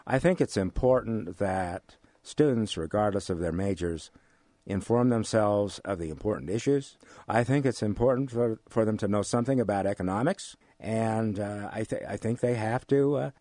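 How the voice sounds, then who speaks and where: An older American man giving thoughtful interview answers in a measured, formal way, pausing as he searches for words. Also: on the low side, animated, a little mellow, no echo, studio quality. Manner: measured, deliberate, formal wording with hesitant pauses. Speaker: interview guest, college history teacher. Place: radio studio.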